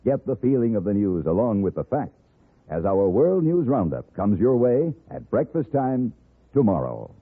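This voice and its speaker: Husky American radio announcer, old tinny broadcast. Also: male voice, on the low side, big pitch swings, normal speed. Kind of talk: 1950s radio announcing, scripted.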